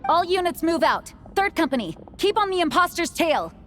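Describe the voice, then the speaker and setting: An actress playing an authoritative, dutiful knight commander in a video game, with crisp, formal delivery. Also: female voice, very high voice, big pitch swings, moderate pace, soft background music. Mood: commanding.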